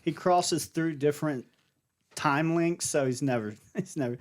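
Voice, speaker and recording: A man's voice: a little high, a little slow, a little hiss or hum. A man co-hosting a podcast, teasing his friends and riffing playfully. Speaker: podcast co-host. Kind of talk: casual chat.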